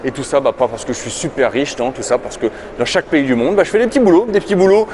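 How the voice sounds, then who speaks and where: Chatty man host over loud city noise. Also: medium pitch, fast talker. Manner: energetic, chatty, addresses viewers as friends. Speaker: travel vlogger host interviewing guests. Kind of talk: upbeat YouTube intro and casual interview. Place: outdoors in a city, partly on the metro. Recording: noisy city and transit background.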